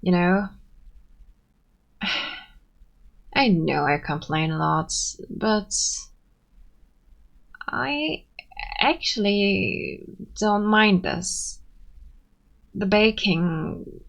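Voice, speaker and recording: A woman's voice: medium pitch, vocal fry, fairly bright tone, full character voice. A woman playing a bashful tsundere friend in an ASMR roleplay, abrasive yet sweet, with flustered hesitations and haughty denials. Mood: bashful, soft. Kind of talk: ASMR roleplay, acted intimate monologue.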